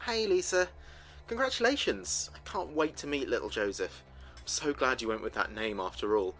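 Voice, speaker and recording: Earnest spaceship officer played by a man, lively intonation. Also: medium pitch, moderate pace, soft background music. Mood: warm, chatty. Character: young-ish male space communications officer, earnest and measured. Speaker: communications officer keeping a mission log. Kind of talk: audio drama log narration.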